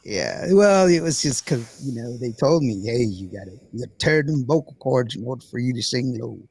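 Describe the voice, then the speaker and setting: A raspy-voiced man hosting a podcast in a casual, rambling way, often repeating words as he goes. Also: mid-range voice, lively intonation, a little fast, a little mellow, dry recording. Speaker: podcast host. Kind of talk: casual chat.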